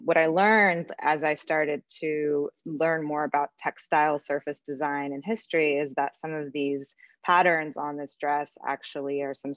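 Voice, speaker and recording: A woman's voice: deep voice, dry recording. A woman born in Russia and raised in the U.S., giving a thoughtful, chatty online lecture as a guest artist over a video call, with frequent ums. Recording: dull lo-fi video call audio.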